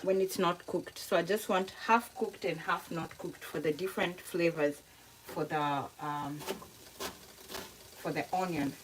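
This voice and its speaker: Slow-talking Kenyan woman over kitchen noise. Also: fairly low pitch, steady tone. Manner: unhurried, halting, explains step by step. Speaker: home cook hosting a cooking tutorial. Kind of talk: casual cooking demonstration, talking to viewers. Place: kitchen, indoors. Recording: noisy kitchen, sizzling frying sounds. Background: frying sizzle, stirring, clicks.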